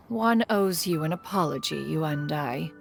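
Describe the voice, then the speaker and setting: An actress playing a proud, wise crane immortal, a caring elder master who speaks in formal, archaic phrases in a video game. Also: female voice, deep voice, gravelly, full character voice. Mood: solemn, explanatory. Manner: formal, stately, archaic diction. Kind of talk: scripted game dialogue acting.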